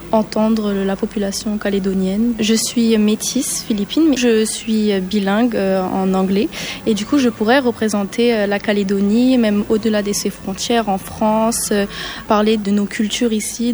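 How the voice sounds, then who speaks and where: A woman's voice: medium pitch, a little mellow. Young woman pageant contestant, lots of ums, bit of hiss in the background. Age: 20s. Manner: hesitant, many fillers. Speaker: beauty pageant candidate.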